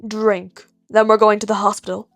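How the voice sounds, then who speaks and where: A woman voicing a gruff, dry, annoyed grown man, a stern hero, in a deadpan, slow manner for an audio story. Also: mid-range voice, no echo, faint music behind, voice pushed down, natural voice.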